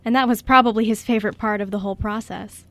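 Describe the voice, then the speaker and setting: A woman's voice: mid-range voice, fairly even intonation, fast talker, a bit bright, no room sound. A woman giving scripted story narration for a radio play.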